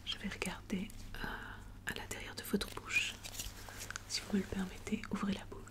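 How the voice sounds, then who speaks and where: A woman whispering softly and breathily as a doctor in an ASMR medical roleplay, slow, gentle and reassuring. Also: medium pitch, little pitch movement. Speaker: ASMR performer playing a doctor.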